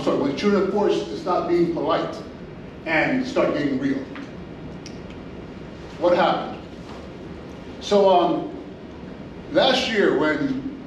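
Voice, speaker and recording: Man, middle-aged, school principal, animated talk with restarts, somewhat high voice, noisy room sound. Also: highs cut off. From Inglewood, California. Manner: animated storytelling with many restarts and fillers. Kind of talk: conference presentation. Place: large hotel meeting room. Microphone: far camera, no mic. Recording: echoey room from far off, audible noise.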